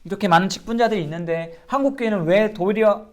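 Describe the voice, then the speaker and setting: A man's voice: high voice, quick pace. A man, a pastor, giving an earnest sermon-style Bible lecture and asking his listeners rhetorical questions. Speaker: pastor teaching a Bible class.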